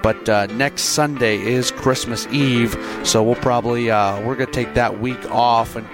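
Hoarse guy w a cold hosting a sports podcast, lots of uhs, headset mic, music under him. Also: mid-range voice, fairly even intonation. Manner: halting, many uh fillers. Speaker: podcast host. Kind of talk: casual sports talk.

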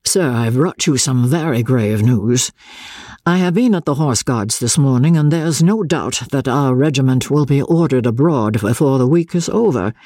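A man voicing a shy, earnest young army captain who speaks gravely and respectfully to an older man. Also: medium pitch, moderate pace, warm tone, no room sound, clean studio sound, lightly acted.

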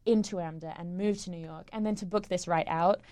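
A woman's voice: fairly low pitch, fairly even intonation, brisk, warm tone, no room sound. A young woman in her twenties, born in England and raised in Australia, with an English-Australian accent, talking casually as an interview guest with frequent ums and laughs. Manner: chatty, laughs often, many ums. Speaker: stage actress, guest.

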